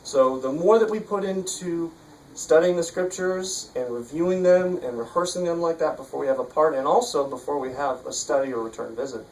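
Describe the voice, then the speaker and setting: A man's voice: fairly high pitch, fairly quick, a little mellow. A man speaking informally to a congregation as a meeting overseer, giving encouraging feedback with frequent hesitations. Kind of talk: informal spoken critique to an audience. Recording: distant mic, noisy room recording.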